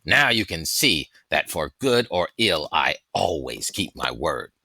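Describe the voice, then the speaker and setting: A man's voice: extremely low pitch, sing-song, measured pace, gravelly, sharp tone, no echo, studio quality, heavily acted. A man voicing a big, boisterous, red-bearded old soldier and jester in a storybook, hearty, declamatory and mocking. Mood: triumphant, teasing. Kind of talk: storybook character voice in audiobook reading.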